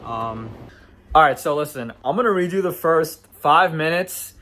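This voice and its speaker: A man's voice: a little high, lively intonation, moderate pace, sharp tone. A man in his early twenties giving a casual talking-to-camera reflection, rambling with many fillers and restarts and laughing at his own slips. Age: 20s. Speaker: vlogger.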